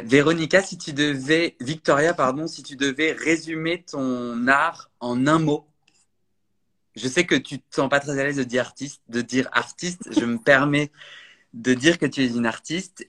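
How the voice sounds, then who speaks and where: A man's voice: medium pitch, steady tone, moderate pace, a bit bright. A man hosting a podcast interview, prompting his guest with casual, friendly questions. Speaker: podcast host.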